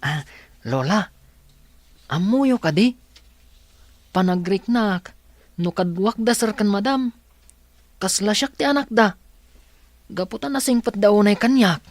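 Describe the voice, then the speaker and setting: A man's voice: high voice, a little slow, long pauses, no room sound. A man playing a kind, eager young student in an Ilocano radio drama, with an Ilocano accent. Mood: cheerful, curious.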